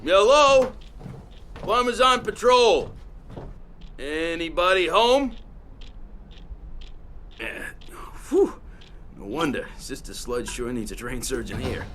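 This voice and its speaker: An actor playing a cocky, griping young American plumber who talks in excitable slang in a video game. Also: male voice, fairly high pitch, slow, long pauses, a little hiss or hum, heavily acted. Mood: cheeky. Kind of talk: video game cutscene dialogue.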